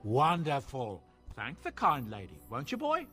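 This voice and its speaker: Genial dwarf trader, obsequious sales talk, swooping pitch, faint fantasy game music. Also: male voice, huge pitch swings, measured pace, fairly bright tone. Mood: eager, grateful. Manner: obsequious, sing-song salesman's courtesy. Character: genial, ingratiating middle-aged male dwarf merchant.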